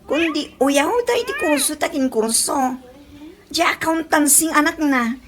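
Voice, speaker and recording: A woman's voice: high voice, measured pace. A woman with an Ilocano Filipino accent playing a gossipy, blunt, dramatic young friend in a radio comedy drama. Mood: disapproving.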